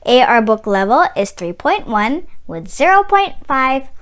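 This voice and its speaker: A woman with a high-pitched voice reads a storybook aloud for children. She takes her time over each phrase, swinging widely up and down in pitch. Her delivery is deliberate and expressive. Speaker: children's read-aloud channel host. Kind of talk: slow, expressive picture-book read-aloud for children.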